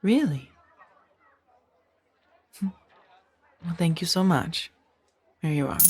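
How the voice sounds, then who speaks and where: A woman acting in a soft-spoken ASMR roleplay as a kind, conflicted former warrior monk turned farmer, hesitant and earnest. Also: on the low side, animated, slow pace, husky, exaggerated voice. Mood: warm, bashful.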